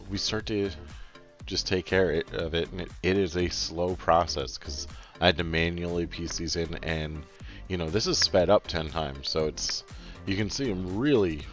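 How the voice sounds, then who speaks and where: A man giving casual, halting commentary over footage of a building game, with frequent filler pauses. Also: low voice. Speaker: video creator narrating gameplay.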